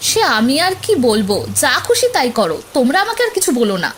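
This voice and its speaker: A woman voicing a quick-tempered village wife and mother in a cartoon, scolding and complaining. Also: high voice, sing-song, a little hiss or hum. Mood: exasperated, scolding.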